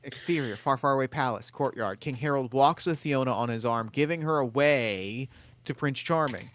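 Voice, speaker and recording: A man narrating screenplay stage directions in a table read, brisk and matter-of-fact. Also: medium pitch, a bit bright, no room sound.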